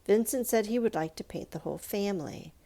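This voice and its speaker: A woman reading a children's picture book aloud in a measured, expressive storytelling manner, with pauses. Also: mid-range voice. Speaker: storybook reader.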